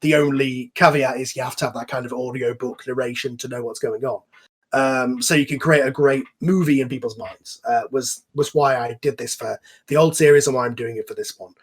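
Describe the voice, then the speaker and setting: A man's voice: medium pitch, fairly even intonation, fairly quick, breathy edge. A man hosting a casual online group chat, explaining things at length in an enthusiastic, informal, rambling way. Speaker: host and series creator. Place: online voice call.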